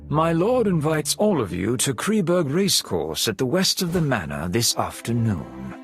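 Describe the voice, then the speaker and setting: A man's voice: mid-range voice, sing-song, normal speed. A man playing a courteous, guarded manor butler with formal, deferential speech in a game cutscene. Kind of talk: video game cutscene dialogue. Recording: music behind the voice.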